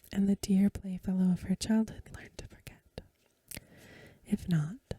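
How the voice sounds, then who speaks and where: A woman reads an old-fashioned story in an ASMR style. Her voice is a very breathy, hushed whisper with a soft, dark edge. She goes gently and without hurry, close to the mic in a dry, echo-free space. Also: mid-range voice. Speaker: ASMR reader. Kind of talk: soft-spoken ASMR reading of a Victorian story.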